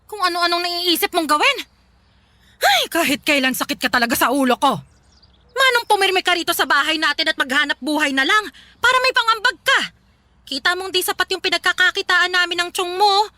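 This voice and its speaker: A woman's voice: very high-pitched, lively intonation, brisk, bright, forceful tone, no room sound, heavily acted. A woman acting a harsh, domineering older mother in a radio drama, scolding and mocking. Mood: angry scolding.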